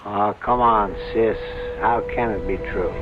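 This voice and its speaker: A man's voice: on the low side, steady tone, measured pace, narrow, tinny audio, music in the background, natural voice. A man playing a skeptical, pragmatic, unsentimental brother in old-time radio drama style. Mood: dismissive. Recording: very noisy old radio recording, rain-like hiss.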